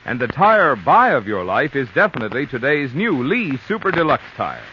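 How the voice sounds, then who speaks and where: A man's voice: mid-range voice, big pitch swings, normal speed, pushed and bright. A man reading a scripted commercial as a 1940s radio announcer, with emphatic sales delivery. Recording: noisy muffled vintage radio recording.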